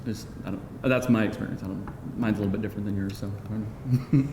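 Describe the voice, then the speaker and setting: A man speaking casually as a screenwriter at a live Q&A, halting, joking and self-deprecating. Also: steady tone. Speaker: screenwriter answering audience questions. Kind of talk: casual, unscripted Q&A talk. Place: live event room with audience. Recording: echoey live room, noisy rumble.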